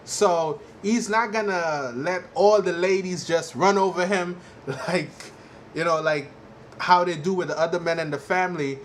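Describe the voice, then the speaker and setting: A man giving casual, rambling fan commentary in a halting, repetitive way, often leaning on "you know". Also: sits high. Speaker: fan vlogger giving a TV show reaction. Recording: clearly noisy amateur recording.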